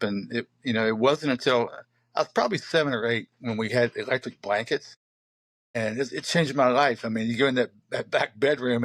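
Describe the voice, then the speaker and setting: A man in his sixties from southern West Virginia, a poet being interviewed, telling stories in an unhurried, reflective way with many pauses and fillers. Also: medium pitch, fairly bright tone, no echo. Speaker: poet and photographer guest. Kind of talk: relaxed interview talk.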